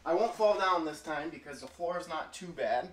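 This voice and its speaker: Man, 30s, live-stream host, dry low-key delivery with mumbly asides, faint background hiss. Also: lively intonation, moderate pace. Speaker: card-opening streamer. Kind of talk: casual live-stream chat. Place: indoor room full of card products.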